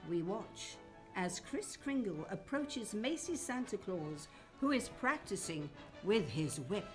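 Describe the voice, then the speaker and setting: A woman's voice: mid-range voice, sing-song, slow pace, music in the background. A woman announcing and narrating in a theatrical, formal old-time radio show style.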